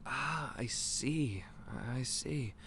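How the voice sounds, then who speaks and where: A kindly, clumsy candy shop owner voiced by a man in an audio roleplay, who stammers through polite, old-fashioned phrases. His medium-pitched voice swoops widely up and down as he talks slowly and carefully. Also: expressive, a bit bright, a little hiss or hum. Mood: gentle.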